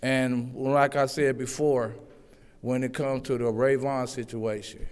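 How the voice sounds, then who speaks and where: Loud, earnest man, public commenter pleading with the council; smooth, resonant voice in a reverberant chamber. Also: mid-range voice, flat delivery, a little slow. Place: echoey city council chambers. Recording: long hall reverb.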